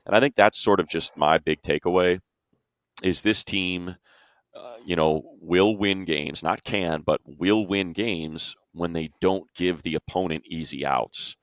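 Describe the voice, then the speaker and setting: Male sports panelist, very deep register, dry close sound, informal emphatic analysis. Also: measured pace, no echo. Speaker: sports show panelist and play-by-play announcer.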